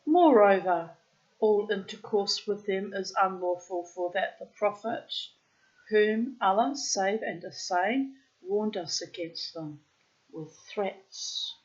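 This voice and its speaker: A woman's voice: mid-range voice, slow, lo-fi sound, close to an everyday voice. A woman reading the lines of a fearful old mother pleading with her son, in archaic storybook speech. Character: fearful old mother of a poor young man.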